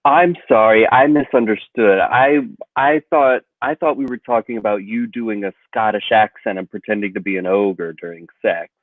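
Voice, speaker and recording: A man playing an eager, chatty woman on a date who is a Shrek fan, in an improv comedy scene. She gushes, apologizes quickly and gets flustered. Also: on the low side, no echo, narrow, tinny audio, close to an everyday voice. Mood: gushing.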